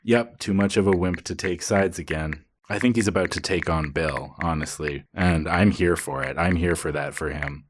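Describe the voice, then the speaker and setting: A very low-voiced guidance counselor played by a man in a comedy sketch. He is smug and gossipy, talking in a confiding, self-satisfied way as if he relishes every student's business. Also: normal speed, hardly any pauses, background noise, close to an everyday voice.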